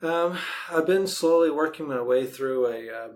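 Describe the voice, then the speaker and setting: A man's voice: fairly high pitch, moderate pace, darkish tone. A man talking casually in a podcast interview, rambling a little as he thinks aloud. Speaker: podcast host and author being interviewed. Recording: faint background noise.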